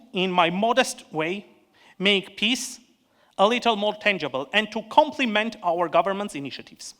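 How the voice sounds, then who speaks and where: A man with an Armenian accent delivers a prepared conference speech as a panel speaker. His voice sits high and sounds bright and forceful. He speaks in an earnest, measured way and now and then stops to restart a word.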